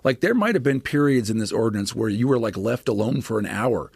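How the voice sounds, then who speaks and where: A man with a gravelly, creaky voice walks through his ideas as a podcast guest, talking with eager, lecturing energy. He keeps checking in with quick "right?" tags. The sound is close and dry, with no room around it. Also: medium pitch, expressive, darkish tone, no room sound. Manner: enthusiastic, lecturing with many asides and "right?" tags. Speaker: podcast guest, scripture scholar. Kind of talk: explanatory podcast conversation.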